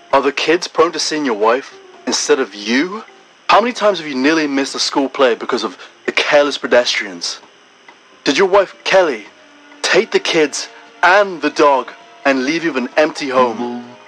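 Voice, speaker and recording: A man acts out a bitter injury lawyer making his own radio commercial in a comic audio game. He pitches with an aggrieved, desperate edge at a medium pitch, with faint music behind him on the tape. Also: a bit bright, lightly acted, low-quality recording.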